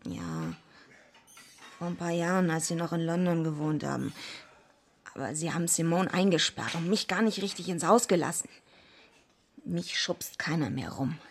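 An actress playing a blunt, defiant, streetwise young female wrestler with a husky, gravelly voice in a radio drama. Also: sits low, expressive, normal speed, pauses often, a little hiss or hum. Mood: indignant. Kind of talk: radio drama acting and first-person narration.

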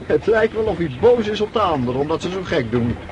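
Cheerful, lively, higher-voiced coachman. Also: fast talker. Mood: cheerful wonder. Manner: chatty, folksy, delighted. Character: cheerful, simple, deferential coachman to a nobleman. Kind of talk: radio drama acting. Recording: muffled, noisy old radio drama recording.

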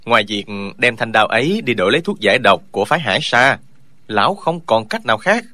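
A man's voice: medium pitch, sing-song, fairly quick, sharp tone, no room sound. An actor voicing an upright young swordsman who speaks earnestly and lectures the old man in an audiobook. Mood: dismissive. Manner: earnest, lecturing, sometimes mocking. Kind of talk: audiobook character dialogue.

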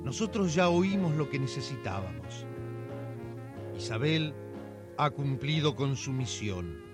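A man narrating a radio drama in a measured, knowing, confiding way. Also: medium pitch, sing-song, music in the background.

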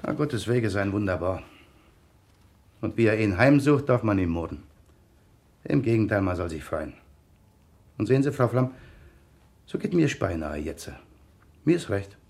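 Meek pious bridegroom in a radio play, creaky lowish voice, deferential, kinda sanctimonious. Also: male voice, expressive, measured pace, long pauses, a little mellow. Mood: pious, resigned. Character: pious, meek, long-suffering village bridegroom. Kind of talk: naturalistic German radio drama acting.

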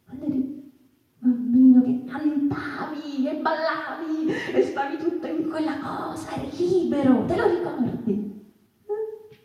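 An actress performing a wistful, impassioned female storyteller in a stage monologue, halting and repeating phrases, putting rhetorical questions to listeners. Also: high-pitched, mellow. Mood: pleading, nostalgic. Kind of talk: theatrical monologue, dramatic storytelling. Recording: echoey room, distant microphone.

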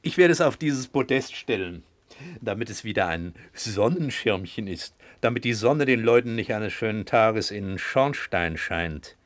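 An actor playing a practical, gently mocking man in a German radio play, coaxing and dryly teasing. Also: fairly low pitch, animated, unhurried, no echo. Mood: brisk, resolute.

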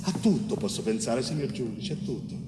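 An actor playing a weary, pleading middle-aged man, wrongly accused, in a halting, emphatic theatrical monologue. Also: mid-range voice, animated, natural voice. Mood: confused, anguished. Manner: emphatic, halting, addresses a judge directly. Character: wrongly accused Sicilian bricklayer, fifty-one, weary, anguished, pleading. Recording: roomy, distant stage recording with hiss.

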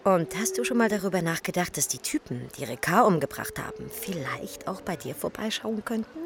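An actress playing a concerned, direct confidante in a radio drama, with a cautioning manner. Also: female voice, lowish voice, expressive, fairly quick, a little hiss or hum. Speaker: actor in a radio crime drama.

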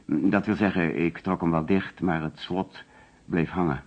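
A man's voice: deep voice, fairly even intonation, a little slow, breathy edge. An actor playing an anxious, defensive insurance agent under suspicion in a radio play, hesitant and flustered as he protests. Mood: nervous, hesitant. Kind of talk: Dutch radio play acting. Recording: dull, lo-fi old radio recording.

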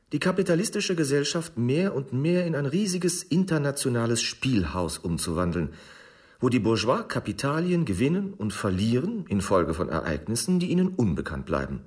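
A man reading an essay for the radio in an articulate, measured manner. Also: medium pitch, very animated, darkish tone. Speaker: reader of essays.

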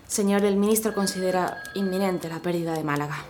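Actress as a formal military secretary. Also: female voice, lowish voice, a little fast, a little mellow, slight background noise, lightly acted. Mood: grave, formal. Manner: formal, businesslike. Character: dutiful, formal military secretary reporting bad news. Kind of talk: audio drama acting.

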